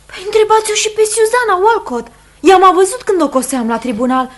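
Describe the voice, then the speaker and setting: An earnest woman of a Puritan household in a radio drama. Her voice is high, and she speaks with open emotion. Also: very animated. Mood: anxious, defensive. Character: earnest women of a Puritan household, wife and servant girl. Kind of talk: Romanian radio theatre acting.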